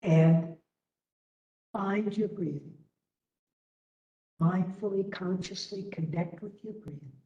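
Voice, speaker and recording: Slow, mellow woman guiding meditation. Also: on the low side, muffled recording. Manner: gentle, unhurried, long pauses between phrases. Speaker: meditation and yoga instructor. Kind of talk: guided meditation. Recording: roomy, distant webinar audio.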